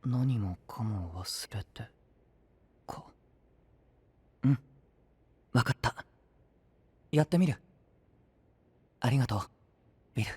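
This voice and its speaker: An actor playing a gentle young knight burdened by devotion, in an anime-style voice drama. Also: male voice, mid-range voice, expressive, slow, pauses often, slightly rough, no room sound, heavily acted. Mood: weary, gentle.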